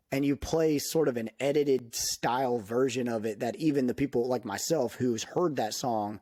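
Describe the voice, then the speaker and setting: A man's voice: medium pitch, mellow, dry recording, clean studio sound. A young man hosting a casual podcast chat, rambling with lots of fillers and restarts. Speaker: podcast host.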